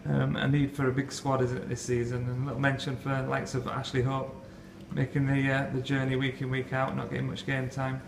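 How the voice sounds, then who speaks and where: Man interviewing for the club, monotone, echoey and noisy recording. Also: mid-range voice, a little fast, gravelly, few pauses. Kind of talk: post-match interview questions.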